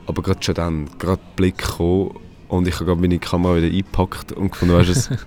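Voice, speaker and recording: A man's voice: sits low, little pitch movement, fast, darkish tone. A man, chatting casually in a rambling way with many restarts. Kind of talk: casual conversation.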